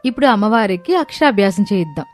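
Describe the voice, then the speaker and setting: A woman acts a devout, gentle eldest daughter-in-law in a scripted moral story. She explains things earnestly and wisely at a middle pitch, with faint music underneath. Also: very animated, fast talker, lightly acted.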